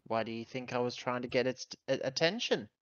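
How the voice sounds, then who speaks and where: Man, tabletop player, mid-pitched voice, casual chat, dry and slightly dull recording. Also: fast talker, dry recording.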